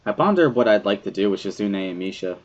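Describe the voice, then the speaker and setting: Male narrator for visual novel: lowish, introspective, animated first-person storytelling. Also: fast. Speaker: dramatic reader of a visual novel.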